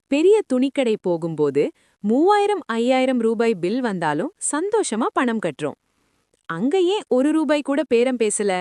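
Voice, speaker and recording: A woman telling stories to young children in an expressive, affectionate way. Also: fairly high pitch, no echo, studio quality. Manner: animated, addresses listeners affectionately. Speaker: children's storyteller.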